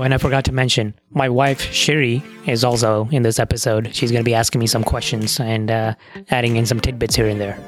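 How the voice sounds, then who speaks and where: Man, podcast host, relaxed explainer, medium pitch, dry close mic. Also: no room sound. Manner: relaxed, explains with asides and fillers. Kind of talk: casual podcast chat.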